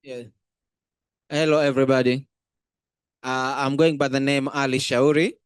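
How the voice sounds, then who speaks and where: A man's voice: mid-range voice, little pitch movement, normal speed, clear, resonant tone, no echo, studio quality. A young man with a Tanzanian accent putting an earnest, slightly hesitant question from the floor in non-native English. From Zanzibar, Tanzania. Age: young adult. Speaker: audience member asking a question.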